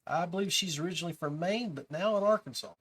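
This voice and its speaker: A man's voice: high voice, fast talker, a bit bright, husky. A man hosting a podcast in casual show talk, addressing his listeners directly. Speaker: podcast host.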